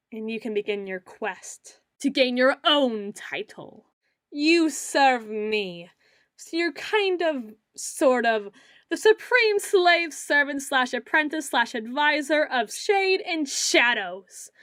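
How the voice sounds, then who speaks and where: A woman playing a melodramatic self-styled female dark lord in an ASMR roleplay, pompous and theatrical, grandiose yet a little awkward. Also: fairly high pitch, no room sound, studio quality. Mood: imperious, scheming, then awkward, earnest. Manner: pompous, theatrical, mock-archaic phrasing with awkward hedging.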